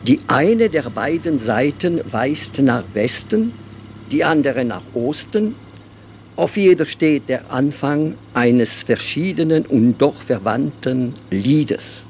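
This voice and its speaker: An actor playing a reflective, wry Alsatian writer in a German radio drama, speaking unhurriedly with a gravelly voice. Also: male voice, medium pitch, expressive, a little mellow, a little hiss or hum. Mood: lyrical. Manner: unhurried, mixes in French words.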